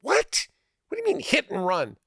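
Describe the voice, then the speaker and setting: A man puts on a brash hothead in a prank story, indignant and exasperated. The voice jumps up high and loud, protesting everything, in a dry close sound. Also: wildly swooping pitch, normal speed, creaky, slightly rough, no echo, exaggerated voice.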